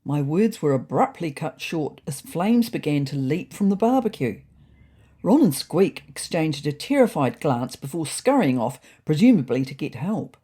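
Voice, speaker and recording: A woman narrating a storybook diary as a fussy, indignant male house cat, in a wry, prim, deadpan manner. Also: sits low, slightly rough, exaggerated voice. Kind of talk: first-person storybook narration.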